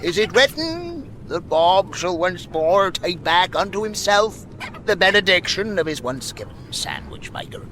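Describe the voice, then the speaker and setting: An actor playing a venerable, batty old sage in a radio comedy, speaking in solemn, pompous, archaic prophecy. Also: male voice, sits high, very animated, unhurried, bright, forceful tone, a little hiss or hum, heavily acted. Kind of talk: radio comedy drama acting.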